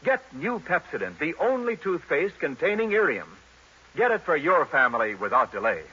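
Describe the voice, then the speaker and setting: A man's voice: fairly high pitch, big pitch swings, normal speed, noisy background. A man announcing and selling in a 1940s radio style, emphatic and polished. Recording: muffled vintage radio broadcast.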